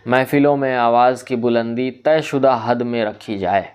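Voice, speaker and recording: A man delivering a scripted social commentary in a formal, measured, oratorical manner. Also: medium pitch, a little mellow, clear, resonant tone. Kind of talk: scripted reformist monologue in literary Urdu.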